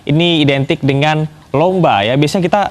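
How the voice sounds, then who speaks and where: Male news presenter, slightly high voice. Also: fairly quick. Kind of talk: chatty anchor banter and story links.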